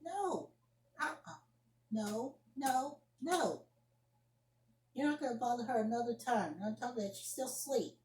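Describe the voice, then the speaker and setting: A woman's voice: on the high side, measured pace, long pauses, a little mellow, lightly acted. A woman playing an indignant young woman scolding someone over the phone in an amateur solo drama, emphatic and repeating her phrases. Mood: fed up. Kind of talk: amateur solo acted monologue, one side of a phone argument. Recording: roomy echoing home recording.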